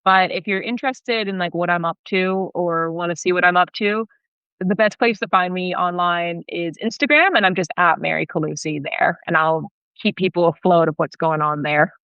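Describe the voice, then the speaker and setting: Young woman w/ boston accent, chatty and upbeat, crisp voice, kinda muffled dry sound. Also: lowish voice, steady tone, moderate pace, pushed and bright, no room sound. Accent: Boston American. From Australia. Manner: chatty, enthusiastic, self-deprecating. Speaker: guest author. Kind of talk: casual interview chat.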